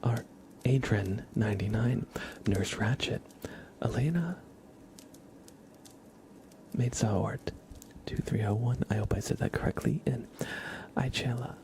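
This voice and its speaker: A man hosting an ASMR livestream in a soft, hushed whisper and low murmur, gently and unhurriedly talking to his viewers. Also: measured pace, long pauses, slight background noise. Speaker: livestream host.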